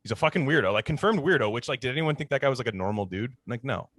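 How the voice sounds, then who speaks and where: Slangy man with swooping, animated pitch. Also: very fast, dry recording. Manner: slangy, profane. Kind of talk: casual podcast chat.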